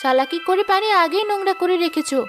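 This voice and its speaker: A woman's voice: very high-pitched, fast talker, music in the background. A woman playing a vain, quarrelsome young village wife in a cartoon, with a haughty, complaining manner. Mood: haughty, scornful. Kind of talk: cartoon voice acting.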